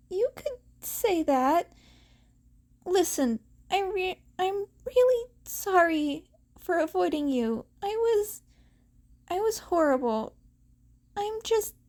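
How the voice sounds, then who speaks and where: A woman's voice: very high voice, very animated, slow pace, long pauses, darkish tone, pitched above the performer's own voice, exaggerated voice. A woman voicing a nervous, apologetic teenage boy vigilante who speaks hesitantly in an audiobook-style story. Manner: hesitant, stammering.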